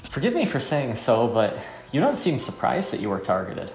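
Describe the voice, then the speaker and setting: A man plays a courteous, battle-eager male fighter in a visual novel game. His voice is creaky and formal in phrasing, in a roomy recording. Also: medium pitch, wildly swooping pitch, moderate pace, soft background music. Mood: curious, polite.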